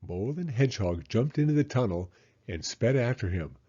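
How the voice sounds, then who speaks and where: A man reading a children's story aloud with expressive narration. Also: mid-range voice, moderate pace, husky, muffled recording.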